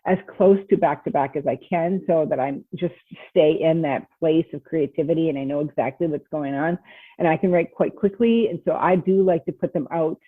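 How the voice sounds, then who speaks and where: Tinny phone-like audio, woman, low husky voice, casual author interview, dry sound. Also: normal speed, dry recording. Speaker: guest, novelist. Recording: tinny, narrow phone-like line.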